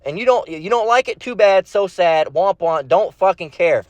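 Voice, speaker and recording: A man's voice: sits high, animated, moderate pace, dry recording. A man preaching off the cuff in a blunt, slangy, confrontational manner, often repeating himself and tagging phrases with short check questions. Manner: slangy, tags phrases with "all right?" and "okay?". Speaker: street-style Bible preacher. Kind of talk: informal impromptu sermon with scripture reading. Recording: dull, dry lo-fi sound.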